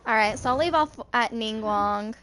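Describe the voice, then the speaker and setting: A woman in her twenties with a somewhat high-pitched voice. She talks through her gameplay in a casual, unscripted way, as a streamer does. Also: moderate pace, fairly bright tone, a little hiss or hum. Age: 20s. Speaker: gaming streamer.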